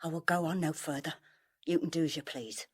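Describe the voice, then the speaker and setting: A woman's voice: low-pitched, a little fast, husky. An actress playing a fierce, suspicious pie-shop woman and villain's accomplice in a period audio drama, clipped and imperious. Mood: cold, demanding.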